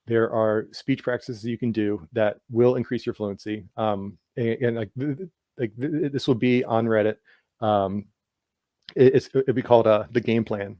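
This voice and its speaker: A man's voice: medium pitch, mellow, no room sound. A man who stutters, answering interview questions casually with many repeated sounds and frequent "like" fillers. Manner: stutters with sound and word repetitions; heavy use of "like" as filler. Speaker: guest author.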